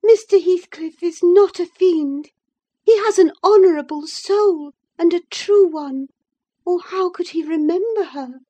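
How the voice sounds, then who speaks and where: An infatuated young girl, protests in a very high, forceful voice. She is plaintive, indignant and passionate, as a storybook heroine. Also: unhurried, no room sound. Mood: tearful, wounded.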